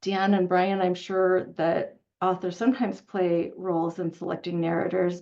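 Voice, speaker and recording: A woman moderating a casual panel discussion, speaking in a halting, rambling and informal way. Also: fairly low pitch, vocal fry, darkish tone. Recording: dull, lo-fi audio.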